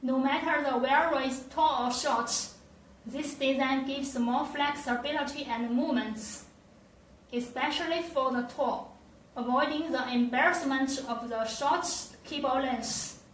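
A woman presenting a scripted product demonstration, with careful, halting, non-native phrasing. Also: high voice. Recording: roomy echo, distant mic, faint noise.

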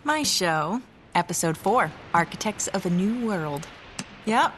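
An actress playing a plucky young TV reporter in a video game, teasing and breezy. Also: female voice, medium pitch, expressive, unhurried, a bit bright, a little hiss or hum. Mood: teasing, confident. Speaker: hero's companion, a TV presenter. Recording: game music behind the voice. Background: music.

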